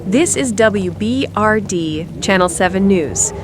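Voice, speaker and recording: A woman playing a composed TV news anchor, reading grave emergency bulletins in a formal, measured way. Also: mid-range voice, expressive, background noise, close to an everyday voice. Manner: formal, measured newsreader delivery. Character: composed female TV news anchor covering a deadly outbreak. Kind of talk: scripted breaking-news narration.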